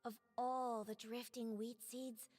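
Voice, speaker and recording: High-voiced earnest game character, reverberant. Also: female voice, flat delivery, slow pace, darkish tone. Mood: wistful. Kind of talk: video game character dialogue.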